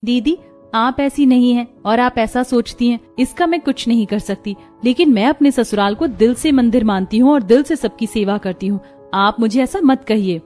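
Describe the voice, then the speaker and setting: A woman's voice: on the high side, moderate pace, darkish tone, soft background music, lightly acted. A woman voicing a humble, devoted young village daughter-in-law in a cartoon story, respectful, earnest and eager to please. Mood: earnest, dutiful. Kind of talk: Hindi moral cartoon story dialogue.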